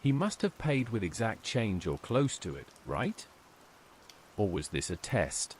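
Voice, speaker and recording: A man's voice: on the low side, big pitch swings, a little slow, slight background noise. A man reading a scripted first-person horror story in a dramatic, suspenseful way.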